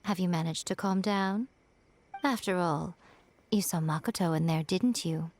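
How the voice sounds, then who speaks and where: A woman's voice: fairly low pitch, unhurried, darkish tone, lightly acted. A woman voicing a sly, teasing fox-spirit shrine priestess in a video game, playful and wry in her speech. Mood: gentle.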